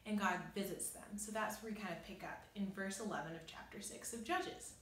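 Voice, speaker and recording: Young lady explaining scripture, chatty but earnest, pauses for emphasis, roomy echo, mic kinda far. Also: medium pitch, fairly bright tone. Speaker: devotional teacher and host. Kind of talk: informal Bible teaching, reading and explaining scripture. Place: small bare indoor room, seated. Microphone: camera a few metres away. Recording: echoey room, distant microphone.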